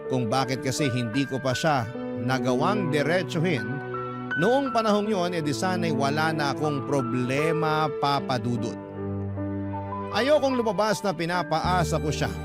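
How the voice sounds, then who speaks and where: Guy reading a scripted story on radio, voice a bit high, music loud underneath. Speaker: radio host and narrator. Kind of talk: scripted first-person story narration.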